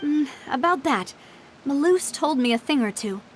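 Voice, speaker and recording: A woman's voice: high-pitched, animated, moderate pace, slight background noise. An actress playing a determined, kind young female boss, composed and articulate, in a video game cutscene. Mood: composed, serious.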